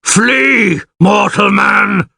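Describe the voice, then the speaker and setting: A man voicing a huge, grumpy, bear-headed monster in an audiobook, raspy and blustering with theatrical exclamations. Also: high voice, very slow, bright, forceful tone, no room sound, pitched above the performer's own voice, heavily acted. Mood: roaring threat. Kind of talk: audiobook character dialogue.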